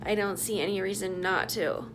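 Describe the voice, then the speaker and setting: A woman's voice: mid-range voice, normal speed, over music. A woman casually giving her opinion in an interview. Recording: noisy ambient background.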